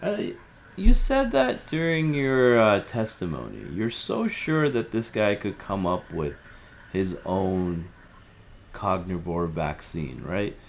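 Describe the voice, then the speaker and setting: A man playing an apologetic, evasive helpline listener in an audio drama, speaking in a hesitant, halting, conciliatory way. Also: low-pitched, big pitch swings, a little hiss or hum, heavily acted. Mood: doubtful, conciliatory. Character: Theo, apologetic, evasive male helpline listener with a troubled marriage. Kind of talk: audio drama, naturalistic phone conversation.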